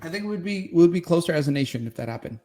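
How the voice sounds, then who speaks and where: A man co-hosting a podcast, joking crudely and laughing, quick to poke fun at himself. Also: on the high side, lively intonation, dark tone. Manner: laughing, self-deprecating, joking. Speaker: podcast co-host. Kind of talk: crude comedic banter.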